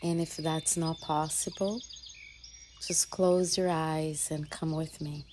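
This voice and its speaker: Woman doing guided meditation, super slow and soft, breathy at the ends of phrases, birds chirping quietly behind her. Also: low-pitched, level tone. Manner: soothing, gentle, with long pauses between short phrases. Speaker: yoga and mindfulness teacher leading a guided meditation. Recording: faint ambient noise with birdsong. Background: birdsong and nature ambience.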